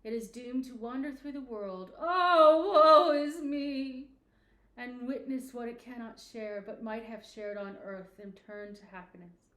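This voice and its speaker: A woman's voice: fairly high pitch, a little slow, a bit bright, pitched above the performer's own voice. A stern, sorrowful spectre of a dead businessman acted out by a woman reader declaims in grave, formal phrases for a storybook. The voice sits in an echoing room. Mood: stern, solemn, then anguished. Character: mournful, stern ghost of a dead male businessman.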